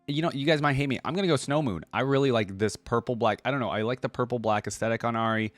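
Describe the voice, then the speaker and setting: A man's voice: mid-range voice, fast, no room sound, soft background music. A man hosting a reaction video, casually commenting and thinking aloud as he talks to his viewers. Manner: informal, thinks aloud, addresses viewers as guys.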